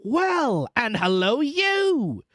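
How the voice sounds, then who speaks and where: A reader voicing a rough, hoarse messenger man on horseback who calls out curtly, in a storybook. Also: medium pitch, sing-song, drawn-out words, fairly bright tone, no room sound, clean studio sound, voice pushed up, exaggerated voice.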